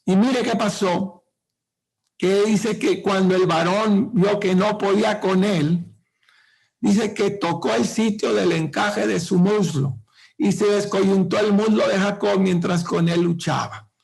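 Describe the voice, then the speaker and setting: A man preaching a sermon to a congregation, with impassioned, drawn-out emphasis. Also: high voice, fairly even intonation, creaky, a little mellow. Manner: speaks directly to the congregation.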